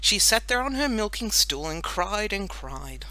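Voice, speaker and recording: A woman narrating a children's audiobook in an expressive, deliberate storytelling manner. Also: mid-range voice. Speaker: audiobook reader.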